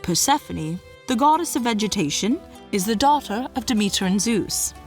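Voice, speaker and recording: A woman giving a scripted storytelling narration in a measured, dramatic way. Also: mid-range voice, animated, faint music behind.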